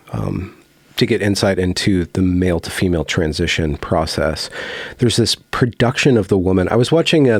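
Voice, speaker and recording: A man's voice: deep voice, no echo. A man hosting an interview, asking long, reflective questions with frequent fillers. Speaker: host.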